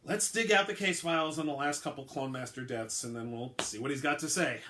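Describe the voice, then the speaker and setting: A worn-out homicide detective short on coffee, dry and brisk in every line, voiced by a male reader. She questions with sardonic patience. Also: mid-range voice, noisy background, lightly acted. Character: tired, coffee-starved woman homicide detective.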